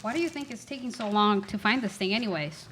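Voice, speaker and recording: A woman's voice: medium pitch, expressive, fast talker, natural voice. Woman playing a wry treasure hunter, noisy recording. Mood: curious. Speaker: student radio play actor. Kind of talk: amateur radio theater acting.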